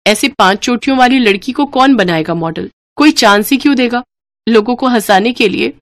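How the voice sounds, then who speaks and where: A woman's voice: a little high, normal speed, dry recording, natural voice. A woman voicing a sad, self-conscious college girl in a cartoon story, with a plaintive manner. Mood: dejected.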